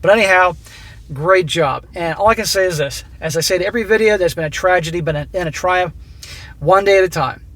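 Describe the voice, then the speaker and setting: A middle-aged host with a high-pitched voice reads a listener's story and breaks in with blunt, profane advice. His voice is going hoarse. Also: brisk, fairly bright tone. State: losing his voice. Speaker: host reading listener stories. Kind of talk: reading a submitted story aloud with commentary.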